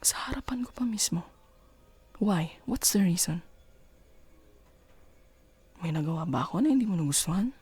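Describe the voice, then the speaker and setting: Husky, low-voiced jealous Filipino girlfriend, acted by a woman. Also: animated, a little mellow, no room sound. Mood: frustrated, pleading. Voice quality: husky, breathy. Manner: slow deliberate questioning, commanding, switching between English and Tagalog. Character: jealous, domineering girlfriend scolding her partner. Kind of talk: ASMR girlfriend roleplay, close and intimate.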